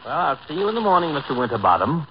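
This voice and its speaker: A man's voice: fairly high pitch, big pitch swings, very fast. A man playing a sardonic, blustery doctor who trades florid insults in an old-time radio sitcom. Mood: courteous. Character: sardonic, blustery doctor, the husband's sparring partner. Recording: muffled, noisy old radio recording.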